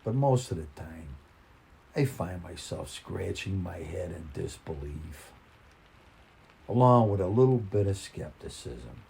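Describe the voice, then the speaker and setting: An older man reading a personal story in a folksy, plain-spoken, conversational way, with halting, reflective pauses. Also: low-pitched, animated, vocal fry, dark tone, a little hiss or hum.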